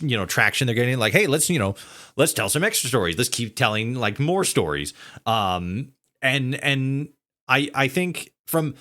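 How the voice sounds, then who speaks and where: Guy hosting a casual podcast chat, average pitch, keeps stumbling and saying like, kinda rambly. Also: bright, forceful tone. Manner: halting, rambling, peppered with "like". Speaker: podcast host interviewing a guest. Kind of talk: casual conversational interview.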